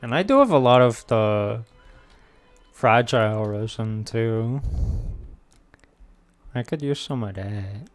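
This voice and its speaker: A man's voice: medium pitch, lively intonation, slow pace, long pauses, faint music behind. A man doing casual live commentary as a game streamer, playful and slangy, rambling as he plays.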